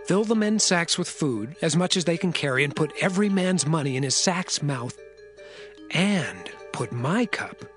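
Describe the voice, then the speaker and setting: A man's voice: fairly high pitch, expressive, normal speed, fairly bright tone, faint music behind. A man acting a commanding male governor in a dramatized scripture reading, with formal archaic speech.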